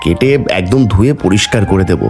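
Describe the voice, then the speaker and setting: Greedy cartoon fish seller, male actor: haggling, dismissive, very lively intonation over faint music. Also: low voice, fast. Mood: scheming. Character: greedy, sly village fish seller, adult man. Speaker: fish seller character in a fairy-tale cartoon. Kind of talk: cartoon voice acting.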